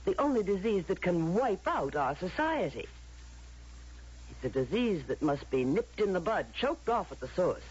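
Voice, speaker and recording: An actress playing a coldly patronizing woman of authority in a radio drama, deliberate and probing, on a muffled old broadcast. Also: on the low side, big pitch swings, a little mellow, husky, full character voice. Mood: grave, menacing. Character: coldly patronizing female authority of a future society.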